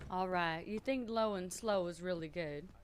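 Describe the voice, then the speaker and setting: A woman streamer chatting loudly and nervously to her viewers, thinking out loud and swearing freely. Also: lowish voice, slight background noise. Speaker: streamer playing a roleplay character. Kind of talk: casual chat to stream viewers.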